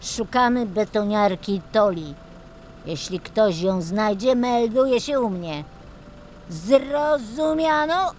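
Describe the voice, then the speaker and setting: A woman voicing a cocky old little car, a male gangster boss in a children's storybook, brisk, streetwise and commanding. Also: fairly high pitch, expressive, a little hiss or hum, voice pushed up.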